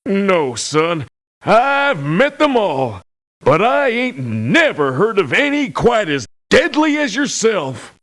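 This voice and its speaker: An actor playing a crotchety old American veteran mercenary in a video game, folksy and scornful of youngsters. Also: male voice, high voice, sing-song, slow, fairly bright tone, heavily acted. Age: older. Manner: folksy, cantankerous. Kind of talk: video game character voice lines.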